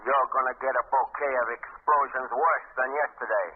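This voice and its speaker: A man's voice: medium pitch, fairly even intonation, moderate pace, breathy edge, natural voice. An actor playing a menacing criminal extortionist in a 1930s radio drama, talking in curt tough-guy slang. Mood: cold threat. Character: menacing male criminal extortionist, threatening a city. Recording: tinny, narrow-band 1930s radio recording.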